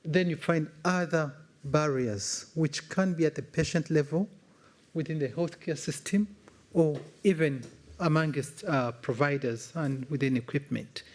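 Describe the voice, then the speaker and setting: A man with a Ugandan accent and a slightly high voice gives a conference presentation. He explains in a formal, measured way, as a specialist speaking from slides.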